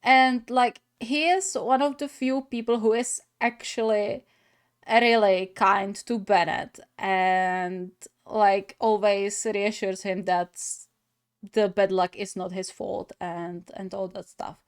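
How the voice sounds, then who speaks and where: A woman's voice: medium pitch, steady tone, slow pace, smooth. A woman giving casual reaction commentary as host of a gaming video, thinking aloud hesitantly.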